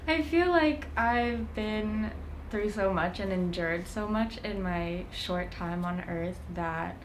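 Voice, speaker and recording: A woman's voice: mid-range voice, slow, slight background noise, poor audio. A young woman volunteering at a charity kitchen, speaking in a reflective way and laughing often. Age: young adult. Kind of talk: casual conversation.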